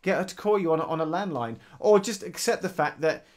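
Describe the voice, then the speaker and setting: A man's voice: fairly high pitch, a little fast. A man, co-hosting a casual tech podcast, telling stories and acting out his own thoughts. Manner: animated storyteller, acts out his own thoughts. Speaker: podcast co-host. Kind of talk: casual chat.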